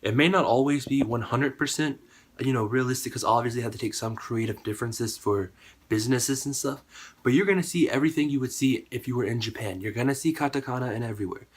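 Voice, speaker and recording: A man's voice: medium pitch, fast talker. A man with a cold and a partly lost voice, talking casually to camera in a rambling, conversational way. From United States. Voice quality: husky. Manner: rambling, conversational, with many fillers. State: sick, voice partly gone. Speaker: YouTuber.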